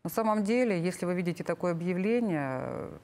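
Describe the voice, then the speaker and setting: A woman's voice: lowish voice, lively intonation, a little fast, warm tone. A middle-aged woman explaining things as an expert guest in a studio interview, searching for words. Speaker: government official, expert guest. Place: TV studio, seated at a table. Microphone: lapel.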